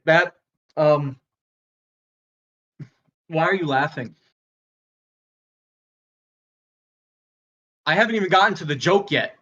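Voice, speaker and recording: A man acts out a struggling stand-up comic with a high, bright voice. He stammers and halts through his act, flustered and exasperated, a volatile performer on a short fuse in a dramatic script read. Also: highs cut off. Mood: nervous, flustered. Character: flustered, volatile failing male stand-up comedian. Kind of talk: dramatic screenplay table read, stand-up monologue.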